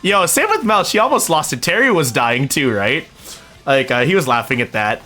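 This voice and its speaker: Excitable young man chatting on stream. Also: on the high side, normal speed, faint music behind. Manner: excitable, swears freely, chatty and laughing. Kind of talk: casual live-stream chat.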